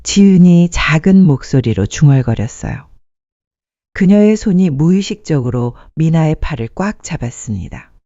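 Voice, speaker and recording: A woman's voice: deep voice, animated, moderate pace, warm tone, dry recording, lo-fi sound. A woman narrating a sentimental story from a script, as a storyteller addressing listeners.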